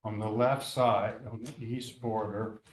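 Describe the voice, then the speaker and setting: Elderly man in his eighties, scratchy voice, very slow measured delivery, handheld mic, echoey meeting room. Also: fairly low pitch, fairly even intonation. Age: 80s. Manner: plain, measured, walks through details step by step. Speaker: architect presenting an application. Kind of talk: slow, methodical presentation at a public hearing. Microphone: handheld microphone.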